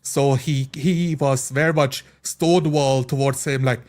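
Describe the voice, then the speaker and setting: A man's voice: mid-range voice, fairly even intonation, unhurried, darkish tone. A man in a casual group chat about a role-playing game, explaining his points through examples. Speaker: tabletop role-playing player.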